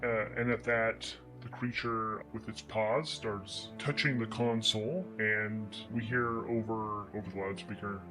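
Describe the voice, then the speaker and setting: A man's voice: on the low side, steady tone, measured pace, hardly any pauses, over music. A man narrating a solo tabletop game as host and game master, in a conversational storytelling manner.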